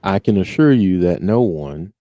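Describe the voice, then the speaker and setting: A man's voice: sits low, lively intonation, normal speed, dark tone, no echo, studio quality. A man hosting a podcast in an easygoing casual chat, with frequent fillers. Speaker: podcast host.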